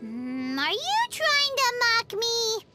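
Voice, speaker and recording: A woman voicing a tiny, excitable, pouty fairy companion in a childlike, squeaky voice for a video game. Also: slow pace, heavily acted. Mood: worried, pouty. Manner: refers to self in third person, exclamatory. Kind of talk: animated game voice acting. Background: background music.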